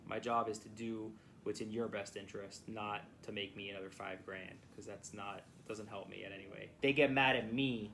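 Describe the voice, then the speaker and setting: A man's voice: on the low side, steady tone, moderate pace, hardly any pauses. Casual, blunt male lawyer, noisy background. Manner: blunt, informal, repeats and restarts phrases. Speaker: personal injury lawyer. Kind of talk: casual phone advice to a client. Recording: clear background noise.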